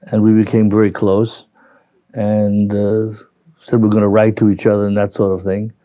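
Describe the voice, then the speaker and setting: An older man with a Brooklyn accent reminiscing as an interviewee, halting and rambling as he recalls. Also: lowish voice, completely flat delivery, warm tone, dry recording, dull audio. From New York, United States. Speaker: interviewee, retiree. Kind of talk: reminiscing in an oral history interview.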